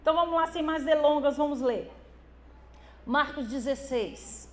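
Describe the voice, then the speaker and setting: A middle-aged woman from Brazil with a Minas Gerais accent, preaching a church sermon and building to loud, fervent exhortation with drawn-out emphatic words. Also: high-pitched, a little hiss or hum. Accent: Brazilian Portuguese from Minas Gerais. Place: church hall.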